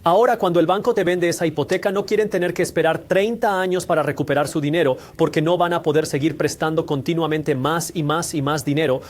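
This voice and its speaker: A man's voice: fairly high pitch, a little hiss or hum. A man hosting a channel about money, talking viewers through a scripted explainer on how the mortgage market works. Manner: brisk, explanatory, speaks straight to viewers. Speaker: finance channel host.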